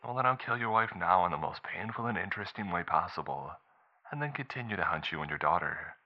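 A man voicing a taunting kidnapper in an audiobook, calm, mock-polite and menacing, with unhurried delivery. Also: low-pitched, lo-fi sound. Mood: cold taunting. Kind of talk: audiobook character dialogue.

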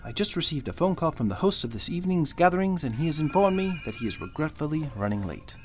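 Man acting a formal butler, expressive intonation. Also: on the high side, faint music behind. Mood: formal, apologetic. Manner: formal, polite, measured. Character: formal, discreet butler, deferential and unhurried. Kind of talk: golden-age radio drama acting.

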